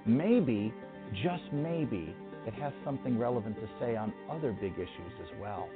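A man's voice: mid-range voice, lively intonation, moderate pace. A man giving an articulate, persuasive promotional spot as an author, with music behind him on a dull recording. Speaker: author promoting his book. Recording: dull lo-fi sound over music.

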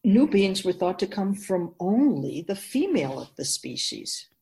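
A woman playing a wise otherworldly spirit in a radio drama, explaining things patiently in a measured, knowing way. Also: medium pitch, sing-song, dark tone. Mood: patient, explanatory. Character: Keeper of Souls, wise otherworldly spirit in female voice.

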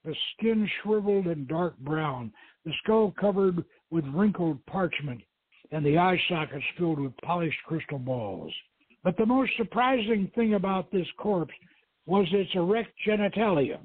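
High-voiced husky man, phone-like sound. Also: lively intonation, unhurried. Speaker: host reading aloud his own novel. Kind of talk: dramatic reading and informal hosting. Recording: thin phone-like radio line, roomy echo.

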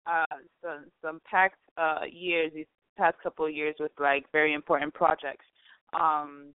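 A woman's voice: deep voice, little pitch movement, a little slow, smooth, no room sound. Woman radio host, chatty and rambling, thin phone-line sound, very dry. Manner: chatty, many fillers and restarts, laughs often. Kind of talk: casual radio interview chat.

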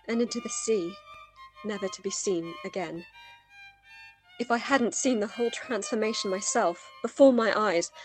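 A woman playing a shaken young Victorian woman in a radio play, telling her tale in a dramatic, deliberate way. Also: fairly high pitch, a little mellow, natural voice, rough recording. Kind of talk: radio play acting and first-person narration.

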